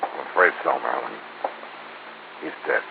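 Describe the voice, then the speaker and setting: A man's voice: very deep voice, normal speed, creaky, full character voice. An American man playing a cool, wry hard-boiled investigator in an old-time radio drama, clipped and unruffled. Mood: grave, calm. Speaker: lead actor narrating as investigator. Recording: noisy, tinny old radio recording.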